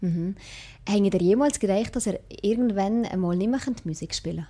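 A woman's voice: mid-range voice, normal speed, warm tone. A woman with a Swiss German accent, hosting a conversational radio interview.

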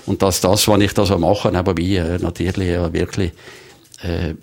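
A deep-voiced man in his sixties speaks with a Swiss German Valais accent, a guest in a radio studio. He hunts for words, with many fillers and restarts. His voice sits very low. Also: little pitch movement, moderate pace, darkish tone. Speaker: guest, early retiree. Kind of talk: studio interview.

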